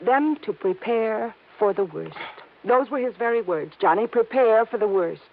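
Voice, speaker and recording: An anxious pleading young wife. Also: female voice, a little high, expressive, normal speed, slight background noise. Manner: pleading and emotional. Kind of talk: 1950s radio drama acting. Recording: tinny old radio broadcast.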